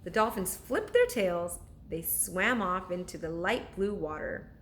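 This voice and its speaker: A woman reading a children's story aloud like a teacher to pupils, with expressive, deliberate narration. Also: fairly low pitch, slight background noise.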